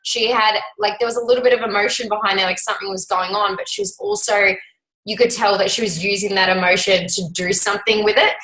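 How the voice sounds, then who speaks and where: A woman's voice: mid-range voice, a bit bright. A woman hosting a group video call, gushing and upbeat as she cheers people on and introduces a guest. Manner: bubbly, gushing, fast asides. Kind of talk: casual motivational chat. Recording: echoey room.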